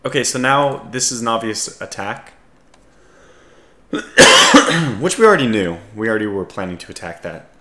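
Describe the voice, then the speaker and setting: A man with a scratchy voice giving casual strategy game commentary, calmly thinking aloud as he analyses his options. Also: mid-range voice, normal speed, pauses often, roomy sound. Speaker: gaming video host.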